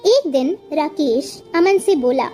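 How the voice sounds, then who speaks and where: A woman's voice: sits high, expressive, slow, warm tone, faint music behind. A woman narrating a scripted moral story as a storyteller.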